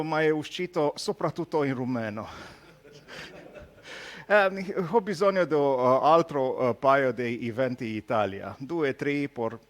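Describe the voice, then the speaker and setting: A man from Romania with a Romanian accent gives an informal tech talk, speaking haltingly and teasing his audience with jokes. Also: medium pitch. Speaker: conference speaker, solution architect.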